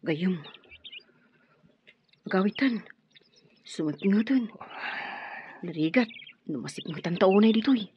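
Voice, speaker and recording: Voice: mid-range voice, expressive, slow pace, pauses often, lo-fi sound, a little hiss or hum. An actor with a Filipino accent playing a tough, wary and loyal armed friend in a radio drama. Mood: subdued, loyal.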